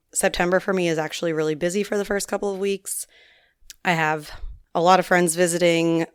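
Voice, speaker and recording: A chatty young woman hosts her solo podcast, her voice a little raspy and worn from singing. Her tone is low for a woman yet smooth and clear. The sound is close and dry, with no room around it. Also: dry recording. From Newfoundland, Canada. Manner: chatty, rambling, frequent ums and likes. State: slightly hoarse from singing. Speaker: podcast host. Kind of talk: casual solo podcast chat.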